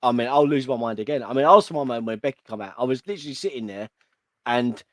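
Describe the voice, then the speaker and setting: An Englishman co-hosting a livestream in a laid-back, rambling way that turns enthusiastic. His voice sits in an ordinary middle pitch. The recording is dry and close. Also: quick pace, no room sound. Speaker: co-host of a wrestling livestream. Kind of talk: casual livestream chat.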